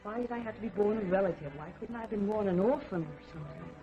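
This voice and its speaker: An actress playing a feisty, impatient young would-be reporter, snappy and sassy, in an old crime film. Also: female voice, medium pitch, fast talker, close to an everyday voice. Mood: plucky complaint. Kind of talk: 1930s film acting. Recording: muffled old film soundtrack with music.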